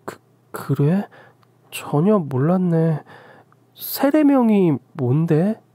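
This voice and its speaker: A woman's voice: lowish voice, big pitch swings, drawn-out words, warm tone, dry recording, pitched above the performer's own voice, full character voice. A woman playing a trusting young wife who talks plainly and earnestly, in a dry recording. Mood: puzzled.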